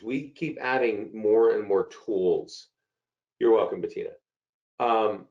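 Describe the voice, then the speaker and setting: A man with a hoarse voice from a cold, hosting a live software webinar and chatting through questions from viewers. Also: mid-range voice. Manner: chatty, repeats himself, answers chat questions. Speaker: webinar host. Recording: dull lo-fi call audio.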